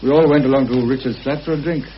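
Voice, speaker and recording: An edgy male conspirator, played by a radio actor, guarded and quick to apologise or correct himself. His medium-pitched voice comes through a lo-fi, faintly hissing radio play recording. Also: fairly even intonation, natural voice. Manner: nervous, apologetic, self-correcting. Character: edgy, wary male conspirator, former prisoner of war. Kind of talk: British radio drama acting. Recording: dull, hissy old radio drama recording.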